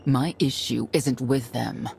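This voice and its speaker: A seasoned, stern female knight in a video game. Her voice is extremely low and gravelly, and she speaks slowly and tersely. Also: fairly even intonation, over music, heavily acted. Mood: stern, curt. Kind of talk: cinematic video game acting.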